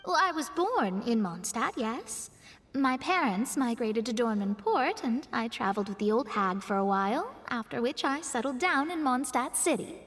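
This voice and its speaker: A woman's voice: fairly high pitch, animated, moderate pace, long reverb tail, slight background noise, natural voice. A woman voicing a proud young female astrologist in a studio game, composed and a little haughty as she explains things. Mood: serious.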